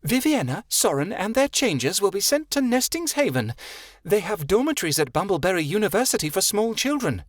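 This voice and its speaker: Guy doing a squeaky bossy fairy lady, way up high, talks about herself by name. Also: very animated, brisk, no echo, studio quality. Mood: stern. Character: fussy excitable fairy woman.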